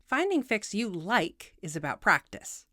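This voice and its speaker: A woman's voice: medium pitch, sing-song, a little slow, sharp tone, dry recording, clean studio sound. A woman hosting a video tutorial, reading scripted explainer narration in a precise, instructional way with wry asides.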